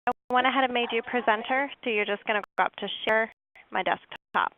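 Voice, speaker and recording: Webinar host, woman, higher voice, steady explainer, dry phone-quality audio. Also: brisk. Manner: steady, informative, occasional fillers. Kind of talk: webinar presentation. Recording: dry tinny phone-like line.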